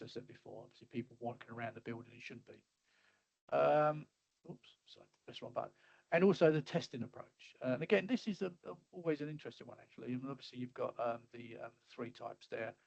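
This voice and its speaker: A middle-aged man giving a webinar talk over slides, halting and full of fillers and restarts, his voice a little hoarse as he keeps clearing his throat. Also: mid-range voice, darkish tone. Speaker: webinar presenter. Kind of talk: casual presentation with slides. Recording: slightly dull lo-fi audio.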